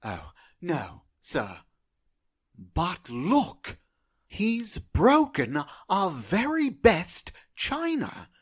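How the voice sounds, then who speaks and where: An actor playing a fussy, deferential male butler with a husky voice, in old radio drama style. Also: high-pitched, wildly swooping pitch, drawn-out words, exaggerated voice. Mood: distressed. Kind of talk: 1940s radio drama acting.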